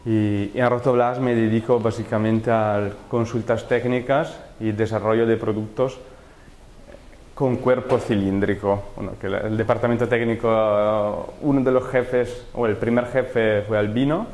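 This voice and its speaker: A man's voice: on the low side, darkish tone, slight background noise. A man in his thirties from Italy speaking Spanish with an Italian accent, giving a technical workshop talk in a lecture room on a handheld mic, often stopping to correct himself. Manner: halting, self-correcting non-native phrasing. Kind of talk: technical presentation to an audience. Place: large lecture room with projector. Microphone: handheld microphone.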